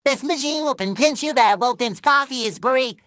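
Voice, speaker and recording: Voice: normal speed, fairly bright tone, dry recording, clean studio sound. A performer voicing a pushy, chirpy little cartoon coffee salesman with cheerful menace, in a perky ad-skit delivery. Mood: confident, pushy. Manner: perky, insistent sales pitch. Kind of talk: old TV ad skit dialogue.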